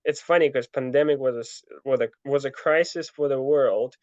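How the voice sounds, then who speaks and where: A young man telling his story in a relaxed interview, full of fillers and restarts. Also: mid-range voice, normal speed, no echo. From Ukraine. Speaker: guest, ultra trail runner. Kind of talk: casual interview answers. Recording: dull lo-fi dry sound.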